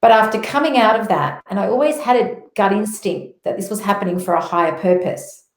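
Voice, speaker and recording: A roomy, echoing recording of an Australian woman in middle age with a mid-pitched voice. She shares personal spiritual testimony as a guest, telling her story in an earnest, emphatic way. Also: brisk. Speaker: interview guest, motivational speaker. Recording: roomy echo on voice.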